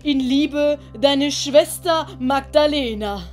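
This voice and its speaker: Woman as an emotional older gentleman, high-pitched. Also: slow, faint music behind. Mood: grave, moved. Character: emotional older gentleman, a doting father and uncle.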